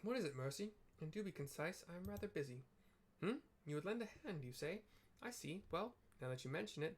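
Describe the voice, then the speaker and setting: A man's voice: fairly high pitch, a little hiss or hum. A man voicing a busy, self-important young commander with a clipped, formal, pompous way of talking.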